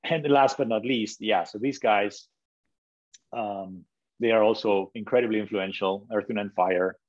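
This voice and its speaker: A man's voice: on the low side, fairly even intonation, measured pace, a little mellow, dull audio. A man from Spain, a podcast guest, talking casually about music with many restarts and hesitations. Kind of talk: casual interview conversation.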